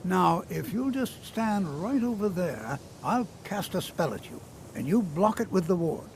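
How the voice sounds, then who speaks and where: A kindly old male wizard from a fantasy game, with a creaky voice that rises and falls in a lively way. He teaches patiently and courteously, urging caution, over faint rumbling noise. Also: measured pace. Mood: encouraging, instructive. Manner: gentle, courteous, instructing. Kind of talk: video game character dialogue.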